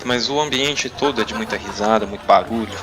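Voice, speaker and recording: A man's voice: on the low side, a little fast. A man narrating a tabletop roleplaying game as game master, with music behind him. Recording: clear music bed behind.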